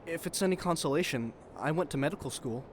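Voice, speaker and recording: A man's voice: on the high side, fast talker, background noise, lightly acted. A man playing an easygoing, candid spaceship crewmate in an audio drama, casual and reassuring. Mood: uneasy.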